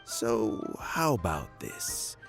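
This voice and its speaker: An actor playing a frail, kindly old statesman who speaks slowly, formally and with courtesy, in a game cutscene. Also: wildly swooping pitch, music in the background, heavily acted. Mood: courteous. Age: older. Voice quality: gravelly and low. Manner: slow, measured, courteous. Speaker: retiring elder statesman. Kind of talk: video game cutscene dialogue.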